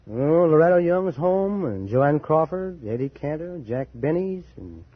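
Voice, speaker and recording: A man's voice: on the high side, big pitch swings, a little slow, dry recording. Colorado guy on an old radio quiz, kinda hesitant, muffled old sound. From Colorado, USA. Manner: plain, hesitant answers with fillers. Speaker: quiz show guest, bus driver. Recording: dull old radio broadcast, studio audience.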